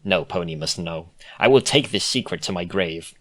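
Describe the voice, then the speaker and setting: A man's voice: low voice, expressive, normal speed, fairly bright tone. A man voicing a defensive, laid-back female cartoon unicorn, grumbling with sardonic reluctance in a storybook reading. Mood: embarrassed, stubborn.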